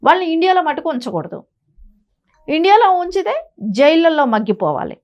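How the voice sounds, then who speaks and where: High-voiced, emphatic woman commentator. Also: big pitch swings, a little fast, darkish tone. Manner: emphatic, insistent, with rhetorical questions. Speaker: political commentator on a news talk show. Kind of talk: opinionated news discussion.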